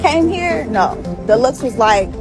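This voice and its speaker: A woman's voice: high voice, expressive, a little slow, music in the background. A woman from the United States telling a travel story to camera in a blunt, slangy, casual way. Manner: blunt, slangy, swears freely. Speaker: traveling vlogger.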